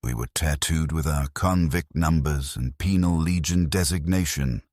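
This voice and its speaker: A man narrating a dramatic story as a grim, embittered convict soldier, solemn and deliberate in his bleak delivery. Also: very deep voice, darkish tone, dry recording, studio quality.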